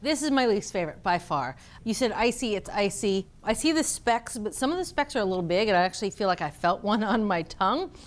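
A woman's voice: mid-range voice, expressive, brisk, hardly any pauses. A middle-aged American woman presenting a cooking show in a casual, conversational way. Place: studio kitchen set with audience.

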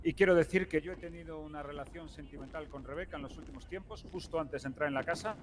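A man's voice: a little high, fast talker, big-room sound, natural voice. A man playing a pompous, melodramatic nightclub manager who swears, in improvised online roleplay. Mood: solemn, wounded. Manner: theatrical, swearing. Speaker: roleplay gamer.

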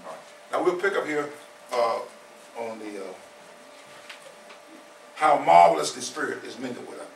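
A man's voice: mid-range voice, animated, moderate pace, vocal fry, long pauses, slight background noise. A man of seventy preaching an informal sermon, repeating his points with emphasis in a conversational way. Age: 70s. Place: small church hall. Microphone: far camera. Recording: echoey room from a far camera.

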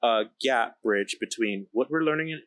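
A man's voice: medium pitch, normal speed, fairly bright tone, dry recording. A man in his thirties from the Bahamas, telling his story candidly and emphatically in an interview on a close microphone. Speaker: interview guest, company founder and engineer. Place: large indoor electronics production floor, seated. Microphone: close podcast microphone on boom arm.

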